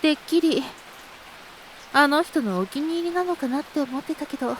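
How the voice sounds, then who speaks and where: A woman's voice: sits high, animated, moderate pace, slight background noise, poor audio. A woman voicing a teasing, seductive, spiteful adult woman in a Japanese audio drama, with a coy, taunting delivery. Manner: coy, taunting, breathy giggles between phrases.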